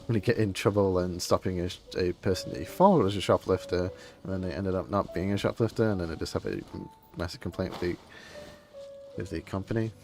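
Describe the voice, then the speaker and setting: A man livestreams a game with a slightly low voice. He chats casually and rambles, often breaking off his own thoughts in a low-key way, with music playing behind him. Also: brisk. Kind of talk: casual stream chat. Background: soft game music.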